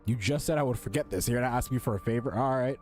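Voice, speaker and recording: A man's voice: mid-range voice, racing, darkish tone. A young male gaming streamer with a mid-range voice gives casual commentary. He is blunt and slangy, swears and often repeats himself. Game music plays faintly behind him.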